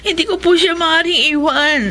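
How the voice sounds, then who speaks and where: High-voiced young woman, earnest nurse. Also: fairly even intonation, normal speed, pushed and bright, a little hiss or hum. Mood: anguished. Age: young adult. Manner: pleading, earnest. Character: conscientious young nurse, tender and torn by love. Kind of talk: Filipino radio drama acting.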